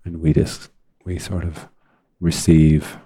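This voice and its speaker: A man leading a guided meditation in a measured, deliberate way, leaving long pauses between phrases. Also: very deep voice, little pitch movement, dark tone, breathy edge, dry recording, studio quality. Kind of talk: unscripted guided meditation talk.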